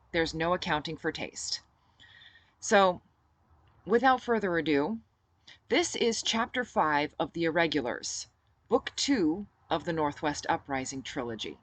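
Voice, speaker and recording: A woman with a low voice reading her own novel aloud as first-person audiobook narration. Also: expressive, unhurried, a bit bright.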